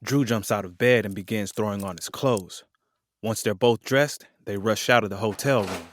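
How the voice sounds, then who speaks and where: A man's voice: lowish voice, moderate pace, slight background noise. A man reading scripted narration for an audio drama.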